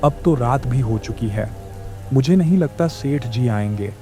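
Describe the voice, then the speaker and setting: A man's voice: mid-range voice, lively intonation, measured pace, mellow, soft background music. A man voicing a scheming, envious shopkeeper in a children's cartoon, with a calculating, grumbling manner. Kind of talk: Hindi children's moral-story cartoon dub.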